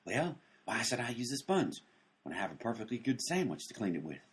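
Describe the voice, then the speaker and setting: A man's voice: mid-range voice, very animated, fairly quick, lightly acted. A man playing a dim-witted, unbothered sandwich maker in a radio comedy, speaking in a folksy, matter-of-fact way. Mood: obtuse, unbothered.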